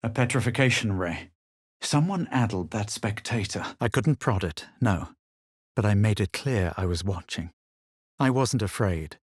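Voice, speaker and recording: A man playing a self-assured, wary fantasy adventurer in a video game, speaking in a measured, deliberate way. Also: on the low side, lively intonation, husky, dry recording, clean studio sound. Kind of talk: video game voice lines, narration and barks.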